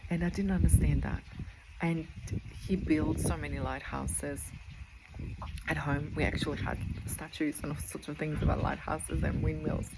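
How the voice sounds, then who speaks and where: A woman's voice: low voice, fairly even intonation, moderate pace, creaky. A woman in her forties from Croatia, with a Croatian accent, giving an earnest motivational talk as a spiritual coach, repeating phrases for emphasis. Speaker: spiritual coach and medical intuitive. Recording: noticeable background noise.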